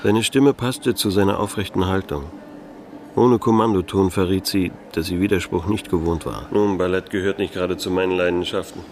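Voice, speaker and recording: An actor playing a disillusioned, laconic private detective in a noir radio play, speaking and narrating with dry irony. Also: male voice, low-pitched, steady tone, moderate pace, a little mellow, slight background noise. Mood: guarded, dry. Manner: laconic, dryly ironic.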